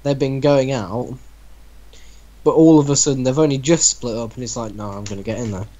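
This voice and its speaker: A man's voice: medium pitch, brisk, mellow, muffled recording. A man casually reading a story aloud to friends, bantering and breaking in with sceptical, teasing comments. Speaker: reader in a group reaction show.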